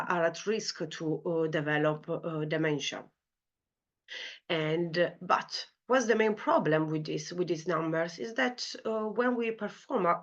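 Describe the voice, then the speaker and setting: A woman's voice: fairly low pitch, fairly bright tone, highs cut off. Italian-accented woman giving an academic talk. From Italy. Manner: frequent fillers like "you know, like" and "uh". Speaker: senior lecturer in clinical psychology. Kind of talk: academic conference talk with slides.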